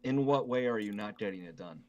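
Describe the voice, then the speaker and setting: A man's voice: fairly low pitch, animated, a little fast, a little mellow, highs cut off. A man co-hosting a podcast in casual, conversational chat. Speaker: podcast co-host.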